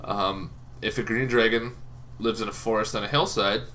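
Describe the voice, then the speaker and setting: A man hosting a tabletop gaming channel, explaining casually off the cuff in an informal, halting way, as if thinking aloud. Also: fairly low pitch, fairly even intonation, sharp tone. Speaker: host of a tabletop roleplaying lore channel. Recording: noticeable background noise.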